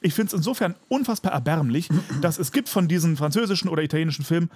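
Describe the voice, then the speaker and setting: A man's voice: fairly high pitch, animated, fast talker, darkish tone, breathy edge. A man in a casual podcast chat, ranting emphatically and with plenty of exaggeration. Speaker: podcast co-host.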